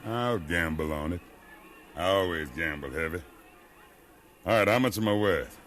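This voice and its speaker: An actor playing a proud, fearless enslaved man, firm and quietly defiant, in 1950s radio drama. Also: deep voice, lively intonation. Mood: bold, menacing. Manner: deliberate, firm, unintimidated.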